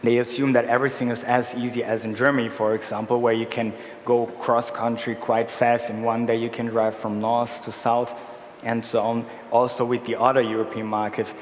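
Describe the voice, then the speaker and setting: A man's voice: medium pitch, level tone, normal speed. A man from Brazil giving a conference presentation, walking through slides and often correcting himself mid-sentence. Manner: self-correcting, explaining slides. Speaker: conference speaker. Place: large conference hall. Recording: echoey hall with faint room noise.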